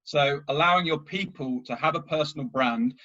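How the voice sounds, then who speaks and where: A man's voice: a little high, moderate pace, pushed and bright, muffled recording. A man, a recruitment leader, speaking as a guest on a webinar panel, joking at first and then explaining point by point. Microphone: video call.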